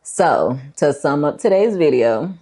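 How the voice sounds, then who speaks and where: A woman's voice: deep voice, animated, measured pace, dark tone, slightly rough. A woman talking casually as a book review vlogger, conversational and emphatic, repeating herself to make a point.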